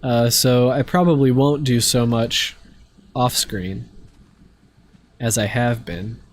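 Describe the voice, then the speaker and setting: A man's voice: mid-range voice, slow pace, slight background noise. Stuffy-nosed male streamer, rambling. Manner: relaxed, rambling, many fillers. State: stuffy from allergies. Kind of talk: casual live-stream chat while gaming.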